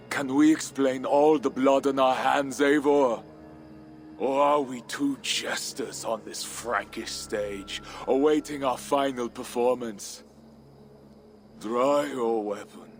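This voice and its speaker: An actor playing a weary, fatalistic Viking warrior chief in a video game cutscene, brooding and theatrical. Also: male voice, mid-range voice. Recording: music behind the voice.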